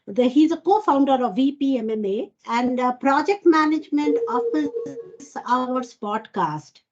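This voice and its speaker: A woman hosting an online event, thanking and introducing speakers in a husky voice. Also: high-pitched. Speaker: event host. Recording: muffled lo-fi video call.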